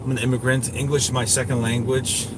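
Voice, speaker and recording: A man's voice: mid-range voice, level tone, brisk. Guy livestreaming, rambly casual opinions, mid voice, traffic-like noise behind him. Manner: rambling, opinionated, repeats himself. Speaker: tech livestream host. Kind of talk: casual livestream chat, answering viewers.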